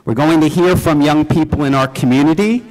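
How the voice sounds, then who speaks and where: A man who is the pastor, welcoming his congregation and introducing a service in a church with an earnest, measured delivery. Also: fairly high pitch, a little mellow, low-quality recording.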